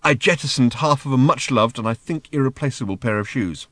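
A man's voice: medium pitch, fairly quick, fairly bright tone, dry recording, voice pushed up, natural voice. A man reading a comic science fiction audiobook, playing a vain, impatient alien man who talks in a snappy, insistent, boastful way. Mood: smug boasting. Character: brash, impatient, vain alien man, urgent and self-important.

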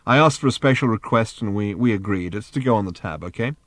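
Laid-back schemer, offhand and dry, lowish voice, as a male narrator reads him, storybook. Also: fast, fairly bright tone, no echo, close to an everyday voice. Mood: scheming.

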